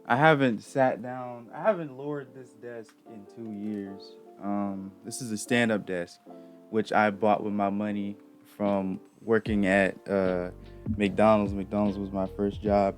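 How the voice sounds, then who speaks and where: A man's voice: lowish voice, fairly even intonation, a little slow, faint music behind. A young man hosting a reaction video, talking in a casual, rambling, slangy way.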